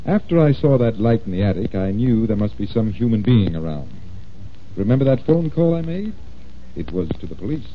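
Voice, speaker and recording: A man's voice: lowish voice, very animated, brisk. An actor playing a confident magician detective in 1940s radio style, explaining things patiently like a showman. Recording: dull, noisy old-time radio recording.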